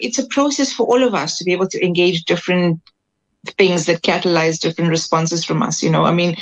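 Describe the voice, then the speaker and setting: Measured, encouraging woman interviewer. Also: mid-range voice, low-quality recording. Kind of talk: interview host.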